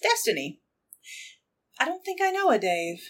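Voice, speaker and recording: A woman's voice: high-pitched, sing-song, normal speed. A woman acting a blunt, playful, quirky city woman in a romantic comedy audio play. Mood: wry, brisk. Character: Destiny, brash, quirky, wry single city woman.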